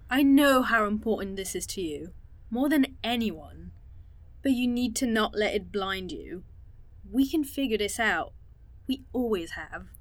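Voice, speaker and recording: A woman's voice: medium pitch, animated, a little slow, dry recording, close to an everyday voice. An actress playing a wry, outspoken woman aboard a train in a sci-fi audio drama, speaking casually. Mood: concerned.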